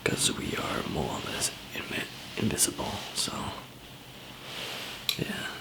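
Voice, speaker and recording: A man doing a whispered ASMR roleplay as a calm apocalypse survivor, murmuring in a hesitant, halting way. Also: low-quality recording. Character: calm zombie apocalypse survivor handing out supplies.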